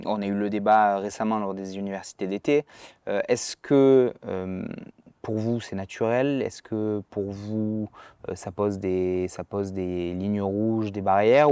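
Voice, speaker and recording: A man's voice: fairly low pitch, mellow, clear, resonant tone, dry recording. A man hosting a casual podcast interview outdoors, asking long rambling questions with restarts. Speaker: podcast host.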